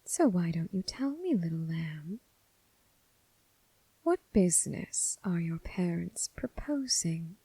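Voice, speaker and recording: A woman acting as a seductive, coolly amused and menacing crime boss in an ASMR roleplay, speaking in a breathy near whisper with deliberate, teasing, formal phrasing. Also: on the low side, very animated, dark tone, dry recording, exaggerated voice. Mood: amused, businesslike.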